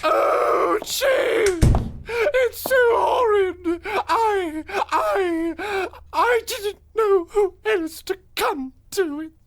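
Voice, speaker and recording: A woman's voice: extremely high pitch, extremely slow, shouting, exaggerated voice. A woman playing a distraught, frantic wife in a radio-style comedy, stammering through sobs. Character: distraught, hysterical wife of a missing man. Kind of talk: old-time radio comedy acting.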